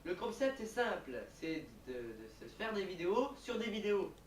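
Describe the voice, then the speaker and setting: A man's voice: high voice, big pitch swings, normal speed. Young male vlogger, rambling, roomy distant recording with light background noise. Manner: hesitant, rambling. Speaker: YouTuber in his own old video. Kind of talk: casual vlog talk. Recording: echoey room, distant mic, faint hiss.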